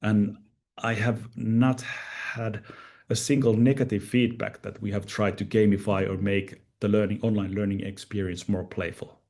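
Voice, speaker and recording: A man's voice: fairly low pitch, level tone, moderate pace, a little mellow. A man presenting at an online conference, thoughtful and prone to restarts.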